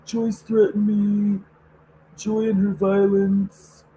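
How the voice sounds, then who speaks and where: A woman's voice: mid-range voice, level tone, takes it slowly, mellow, a little hiss or hum, voice pushed up, low-quality recording. A woman putting on a fake, overly cheerful male video host in a mocking singsong. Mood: whiny.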